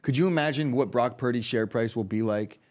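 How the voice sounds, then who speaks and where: A man's voice: medium pitch, darkish tone. Opinionated male sports podcast co-host. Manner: fast opinionated talk, many restarts. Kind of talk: casual sports chat.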